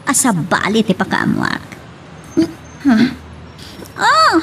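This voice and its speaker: A woman's voice: fairly high pitch, huge pitch swings, slow, vocal fry, bright, forceful tone, a little hiss or hum, heavily acted. A woman acting an emotional drunken woman in an Ilocano radio drama. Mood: drunken, distraught.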